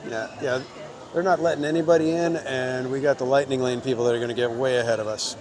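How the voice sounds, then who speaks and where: A man's voice: medium pitch, steady tone, fast talker. A man hosting a casual live stream outdoors, chatting and joking with viewers and reading their names. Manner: chatty, joking, reads chat names aloud. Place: outdoors in a crowded theme park. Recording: outdoor with loud crowd.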